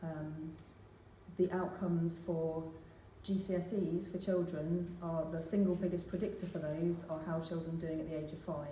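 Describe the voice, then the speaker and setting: A woman makes an earnest, measured speech as a council candidate at a hustings, pausing on filler ums. Her voice is low, flat and mellow. She is recorded from a distance in an echoey church hall with a faint hiss behind her.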